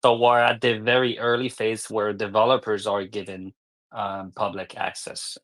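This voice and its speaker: A man speaking as a panel guest, giving an informational explanation in halting, careful phrases. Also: lowish voice, little pitch movement, a bit bright.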